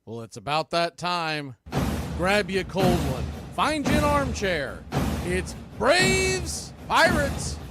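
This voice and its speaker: Hyped baseball radio host. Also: male voice, high-pitched, big pitch swings, takes it slowly, over loud music. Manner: hyped-up, calls out chat members by name. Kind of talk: live-stream baseball watch-party commentary.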